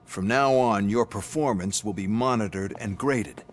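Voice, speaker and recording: A man's voice: fairly low pitch, a little slow, a little hiss or hum, lightly acted. An actor playing a contemptuous male corporate overseer in a game cutscene, mocking and dismissive. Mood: scornful. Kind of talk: video game cutscene acting.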